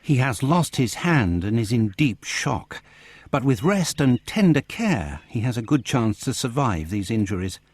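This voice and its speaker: An actor playing an earnest, long-suffering gentleman companion in a video game, speaking politely. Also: medium pitch, a little slow, breathy edge, no echo. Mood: grave reassurance. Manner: polite, expressive, formal. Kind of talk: video game cutscene acting.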